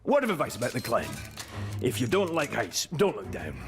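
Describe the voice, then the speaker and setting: An actor playing a jovial, eccentric dwarf tinkerer in a video game, with a folksy, teasing manner. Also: male voice, mid-range voice, huge pitch swings, fairly quick. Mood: jovial, knowing. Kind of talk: animated video game character acting. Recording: roomy reverb under game music.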